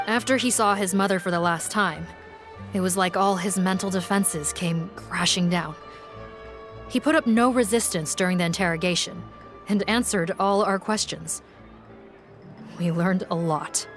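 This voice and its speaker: A woman's voice: medium pitch, lightly acted. A woman playing an adult police officer in a video game, speaking in a composed, formal, matter-of-fact and resolute manner. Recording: music playing behind the voice.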